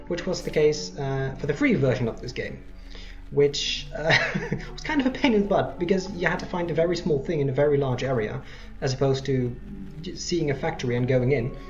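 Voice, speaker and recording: Casual let's play host, mid-pitched, rambling and self-deprecating, slightly muffled lo-fi mic, music behind. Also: darkish tone. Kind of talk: casual game commentary.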